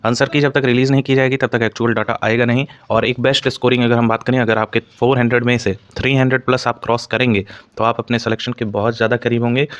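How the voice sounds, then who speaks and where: A man's voice: mid-range voice, a bit bright, muffled recording. A man explaining exam information to students as a channel host, in an explanatory way with repeated stock phrases.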